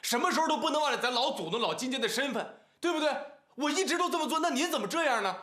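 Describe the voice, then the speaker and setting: A man's voice: extremely high pitch, expressive, racing, bright, forceful tone, exaggerated voice. An actor playing an exasperated, long-suffering younger brother in his thirties in a TV family drama, pleading in rhetorical questions. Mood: reproachful, dismayed. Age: 30s. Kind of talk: naturalistic TV drama acting. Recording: background music under dialogue.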